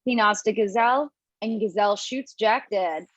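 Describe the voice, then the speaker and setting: A woman narrates screenplay directions at a table read in a mid-pitched voice. She occasionally trips over a word. The audio is dull, with very little room sound. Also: normal speed, fairly bright tone, dry recording. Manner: stumbles over words while reading. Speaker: stage directions reader. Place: remote online table read. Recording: dull, dry lo-fi call audio.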